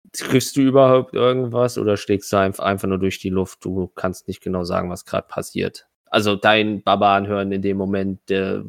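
Male game master for tabletop fantasy play, lower register, close dry recording, improvising narration and rulings in a halting way. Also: gravelly, no echo. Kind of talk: running a tabletop role-playing game, improvised narration and rules talk.

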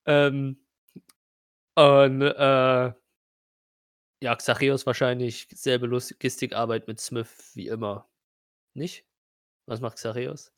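A man's voice: medium pitch, little pitch movement, no room sound. Man narrating a tabletop game, close and dry. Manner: colloquial, halting mid-sentence. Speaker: game master.

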